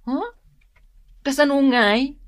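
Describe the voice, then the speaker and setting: A woman acting an unhurried, gossiping village neighbor with an Ilocano accent in a comedy radio drama. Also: high voice, no echo, clean studio sound. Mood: intrigued.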